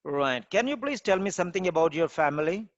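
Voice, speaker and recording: A man, an IELTS trainer, playing a formal examiner in a mock speaking test and asking measured questions. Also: high voice, expressive, no echo, lo-fi sound. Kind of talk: mock exam interview.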